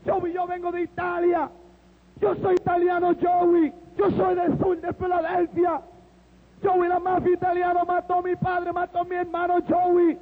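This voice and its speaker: An older-sounding man voicing a huge converted ex-gangster who preaches slowly and emphatically, rising to shouts, heard through a tinny, phone-like line in a reverberant hall. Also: extremely high pitch, completely flat delivery, pitched above the performer's own voice. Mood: fervent. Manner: slow, emphatic, shouting at peaks. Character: big tough man preaching, fervent.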